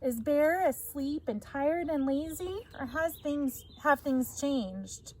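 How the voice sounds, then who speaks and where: A woman's voice: high-pitched, animated, slow pace. A woman teacher reading a story aloud to children, explaining as she goes and prompting them with questions.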